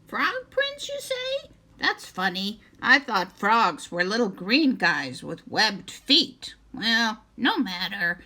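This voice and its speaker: A woman voicing a suspicious, nasty old fairytale witch with a croaky, questioning delivery. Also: medium pitch, wildly swooping pitch, takes it slowly, bright, forceful tone, heavily acted. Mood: suspicious, menacing.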